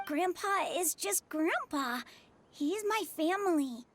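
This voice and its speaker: A woman voicing an earnest little village boy in an anime game dub, childlike and halting as he speaks. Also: very high-pitched, expressive, a little hiss or hum, exaggerated voice. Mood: pleading.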